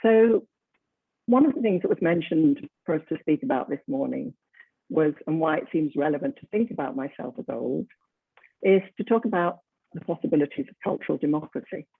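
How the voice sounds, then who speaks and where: Woman in her seventies, guest speaker, husky and frank, heard over a thin dry phone-quality line. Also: low-pitched, lively intonation, brisk, no room sound. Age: 70s. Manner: candid, reflective, laughs easily. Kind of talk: talk on a video call. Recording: dry, phone-like, tinny call audio.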